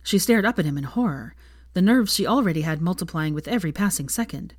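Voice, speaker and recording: A woman reading fan fiction aloud as expressive, scripted story narration. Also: fairly low pitch, fast, no echo, studio quality.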